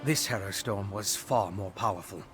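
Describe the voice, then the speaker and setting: An actor playing an earnest, inquisitive male investigator in a fantasy video game, speaking in a measured, articulate, studious way. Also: fairly low pitch, natural voice. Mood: serious, explanatory. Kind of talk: video game character dialogue. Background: game music.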